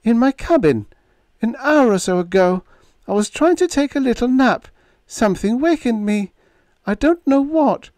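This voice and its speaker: A woman's voice: a little high, lively intonation, moderate pace, dark tone, dry recording, voice pushed up, close to an everyday voice. A man voicing a heartbroken young woman who speaks in an intense, eager, pleading way.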